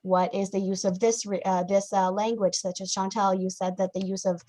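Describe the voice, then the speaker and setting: A woman's voice: mid-range voice, flat delivery, normal speed, dark tone. Friendly young woman moderating. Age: young adult. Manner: friendly, affirming, some hesitations. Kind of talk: casual moderating.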